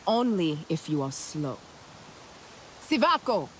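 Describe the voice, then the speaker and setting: A cocky clan racer in a fantasy game. She is animated in pitch, taunting in short, challenging phrases. Faint noise sits behind her. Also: takes it slowly, exaggerated voice, rough recording. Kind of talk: game character acting.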